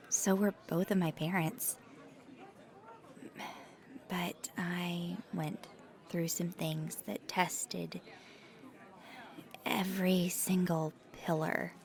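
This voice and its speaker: A woman plays an awkward, earnest female paranormal investigator in an intimate ASMR audio drama. Her voice sits a little low for a woman, with plenty of crackly fry. She speaks slowly and softly, halting and restarting as if nervous and choosing each word with care. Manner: halting, stammering, thoughtful, gently breathy.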